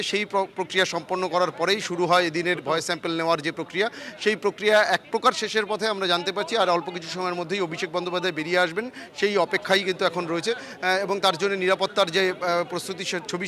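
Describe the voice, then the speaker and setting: A man's voice: sits high, steady tone, fast, pushed and bright. A man with a West Bengal accent giving an unscripted live field report outdoors, in emphatic, repetitive phrases. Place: outdoors on a city street outside a court.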